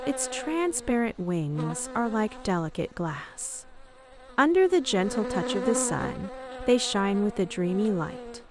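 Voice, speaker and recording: A woman reading a scripted children's bedtime story in an expressive read-aloud manner. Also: mid-range voice. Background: music.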